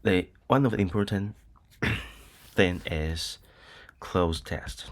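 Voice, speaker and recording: Brief: middle-aged Taiwanese male professor, low voice, unhurried, filler-heavy lecturing. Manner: hesitant, many ums and uhs, frequent "okay?" checks. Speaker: university lecturer. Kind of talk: recorded lecture with screen demo.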